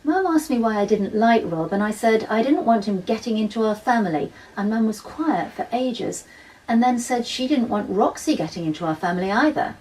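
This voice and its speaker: A woman's voice: fairly high pitch, animated, normal speed, a little mellow, distant mic, lightly acted, low-quality recording. A woman reading a children's audiobook as an earnest, quirky primary-school child telling a diary in the first person. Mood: candid, chatty. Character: schoolchild Joe, earnest, quirky Year 6 diarist.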